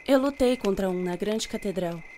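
A woman's voice: medium pitch, normal speed, mellow, a little hiss or hum, natural voice. A woman playing a determined young warrior, terse and serious, in an anime fan dub. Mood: serious, resolute.

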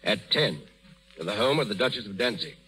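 An actor playing a stern, suspicious emperor, clipped and interrogating, in a 1930s radio drama. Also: male voice, fairly low pitch, lively intonation, brisk, bright, forceful tone, a little hiss or hum, rough recording. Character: stern suspicious middle-aged male emperor.

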